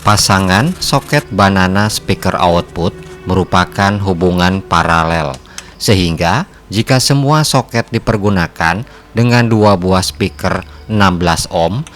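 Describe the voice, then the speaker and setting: A man reading scripted narration for a repair tutorial in a measured, formal, instructional way. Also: low voice, a bit bright. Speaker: host of an electronics repair channel.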